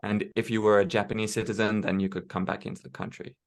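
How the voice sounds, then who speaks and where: A man speaking as a guest in a casual interview, measured and reflective, with frequent fillers and restarts. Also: lowish voice, little pitch movement. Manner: hesitant, many fillers and restarts. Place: home in Tokyo.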